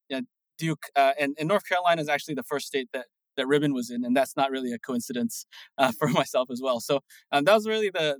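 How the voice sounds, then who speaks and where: A man in his thirties from Singapore, a startup founder answering interview questions in a quick, animated way with many restarts. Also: medium pitch, no echo, rough recording. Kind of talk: casual interview talk.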